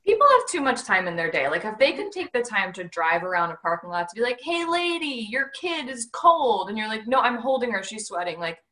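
A woman hosting a casual podcast from home over a video call. Her voice is bright and forceful, and her talk is blunt, profane and jokey. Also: on the high side, sing-song, brisk, hardly any pauses. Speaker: podcast host. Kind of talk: casual interview chat.

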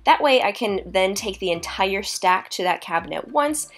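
A woman's voice: mid-range voice, normal speed. Young woman narrating cleaning tips. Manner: relaxed explanatory tips. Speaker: vlogger. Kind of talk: cleaning vlog voice-over. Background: soft background music.

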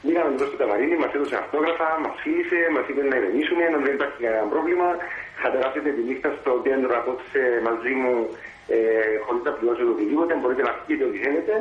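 A man with a Cypriot Greek accent calling in by phone, telling a personal story in a halting, hesitant way. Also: mid-range voice, echoey room. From Cyprus. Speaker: phone-in caller. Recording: tinny, narrow phone line.

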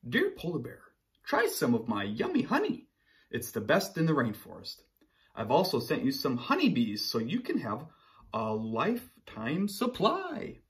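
A man voicing a generous, honey-loving sun bear from a children's storybook, hearty and with drawn-out words. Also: medium pitch, wildly swooping pitch. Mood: cheerful.

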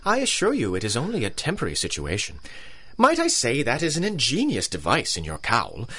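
A pompous old-school colonel who hunts for sport in a radio play. He is courteous and theatrical, with menace under the manners, and his pitch sweeps dramatically up and down. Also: huge pitch swings, fairly quick, sharp tone, slightly rough, full character voice. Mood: coolly polite. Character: pompous British colonel, big-game hunter villain, coldly courteous.

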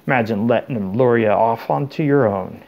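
A man voicing a huge, loyal, hot-tempered groundskeeper in an audiobook, speaking in a blunt, rustic way with rough grammar. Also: mid-range voice, animated, moderate pace, lo-fi sound. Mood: angry.

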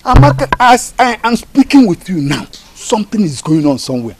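A confident, scheming Nigerian village chief in a screen drama. He reassures with emphasis and lively pitch swings, with faint noise behind him. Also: fairly quick. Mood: smug, confident. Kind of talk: film drama acting.

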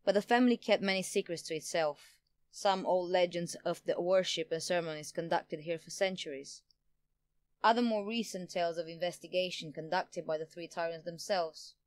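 Woman playing a scheming female politician, lowish voice, pompous, self-satisfied, theatrical audio drama villain, dry recording. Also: moderate pace, no echo, natural voice. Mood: smug, explaining. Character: scheming, haughty woman politician, power-hungry and smug.

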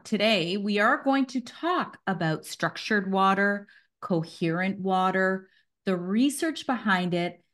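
A woman's voice: medium pitch, a bit bright. A woman in her forties hosting a podcast from a small home studio, talking in an upbeat, chatty way into a studio mic. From Canada. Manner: upbeat, chatty, quick asides. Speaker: podcast host. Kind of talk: casual video-call interview. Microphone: studio mic on a stand.

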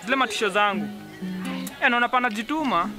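A chatty young Kenyan man an actor hanging out with buddies in a radio drama. His voice is high and quick, slangy and casual, with loud guitar music behind it.

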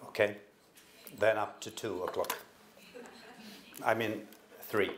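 Older finnish guy giving a conference talk, slow and halting, keeps repeating words, echoey hall. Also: fairly low pitch, steady tone, fairly bright tone. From Finland. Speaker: conference speaker. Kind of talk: conference presentation. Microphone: stand microphone at a podium.

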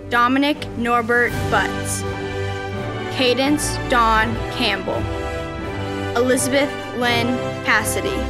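Young woman slowly reading names. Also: a little high. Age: young adult. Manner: slow, deliberate. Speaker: student council president reading names. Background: music.

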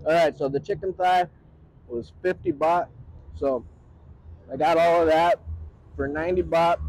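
A man's voice: a little high, steady tone, measured pace, darkish tone, low-quality recording. A middle-aged man giving casual, enthusiastic, plain-spoken food commentary as the host of a vlog. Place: outdoors on a city street at night. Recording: clear street noise with passing vehicles.

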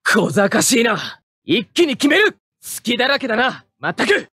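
Proud young robot pilot, anime game battle barks, hot-headed, forceful, very animated pitch, dry studio sound. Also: male voice, moderate pace, husky, no room sound, heavily acted. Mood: cocky. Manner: forceful, cocky shouting of short attack calls.

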